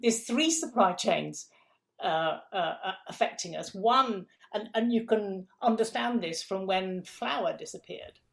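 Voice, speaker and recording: A woman's voice: mid-range voice, unhurried, creaky. A middle-aged woman from England, a guest in an interview, explaining food systems step by step with emphatic repeats.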